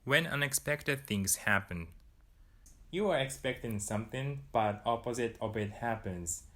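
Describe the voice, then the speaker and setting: A young Japanese man with a Japanese accent explains a lesson in a calm, mid-range voice. He says each Japanese example faster and louder than the rest. He is recorded close on a lapel mic in a small indoor room. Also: medium pitch. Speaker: language teacher. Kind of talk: scripted lesson to camera.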